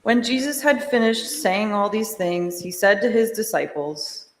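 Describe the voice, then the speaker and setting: A woman narrating a dramatic scripture reading in a measured, formal manner. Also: mid-range voice, expressive, slight background noise. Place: large church during a service. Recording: echoey church, long reverb.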